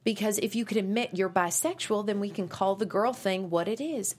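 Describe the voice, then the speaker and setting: An actress reading a pushy conservative talk radio host mother at a script table read, brisk and scolding. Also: mid-range voice, lightly acted. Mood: prim.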